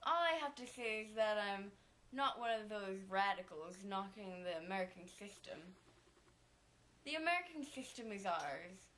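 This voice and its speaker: A woman acts out a defiant Chicago gangster boss in a homemade 1920s radio interview. The tone is matter-of-fact and self-justifying at a middling pitch, with faint noise behind. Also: animated, moderate pace, pushed and bright, poor audio.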